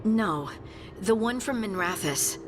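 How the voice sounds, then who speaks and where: Brisk, determined ally, reverberant. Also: female voice, mid-range voice, expressive. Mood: urgent. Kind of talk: video game voice acting. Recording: roomy reverb, faint music behind.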